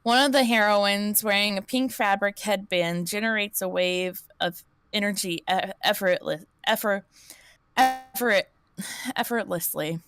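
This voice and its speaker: A woman's voice: mid-range voice, measured pace, fairly bright tone, clear, resonant tone. A woman streamer reading an interactive story aloud, often restarting words she misreads. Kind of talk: live-stream story narration.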